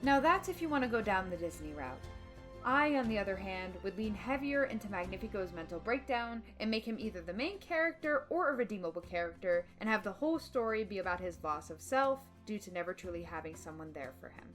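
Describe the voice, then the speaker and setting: A woman's voice: medium pitch, expressive, brisk. A woman delivering an opinionated film video essay, emphatic and dramatic, with casual slang slipping in. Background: background music.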